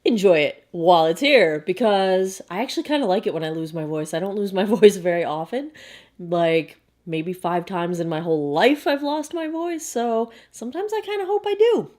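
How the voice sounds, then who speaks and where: A young woman with a hoarse, croaky voice, chatting casually as she unboxes beauty products to camera. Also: mid-range voice, lively intonation, a little mellow. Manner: chatty, bubbly, quick asides. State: hoarse, frog in throat. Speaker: beauty vlogger.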